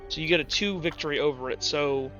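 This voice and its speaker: Bright, higher-voiced man running a tabletop game. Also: quick pace, highs cut off, faint music behind. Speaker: game master.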